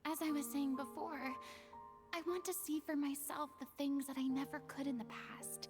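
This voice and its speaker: A woman playing a remorseful, weary young former idol in a video game, speaking earnestly with a theatrical, hushed sadness. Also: high-pitched, steady tone, moderate pace, music in the background, close to an everyday voice. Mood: wistful, apologetic.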